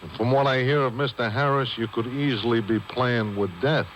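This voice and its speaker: An actor playing a slangy, chatty, worried sidekick in a 1950s radio detective drama. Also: male voice, mid-range voice, fairly even intonation, normal speed, natural voice. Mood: wry concern. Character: wisecracking, worried male sidekick. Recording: slightly noisy old radio broadcast tape.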